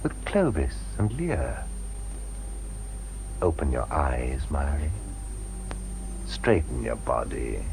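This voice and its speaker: Very deep, halting, earnest British man. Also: creaky, full character voice. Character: grave, hesitant male former patient, gently earnest. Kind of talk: British radio drama acting.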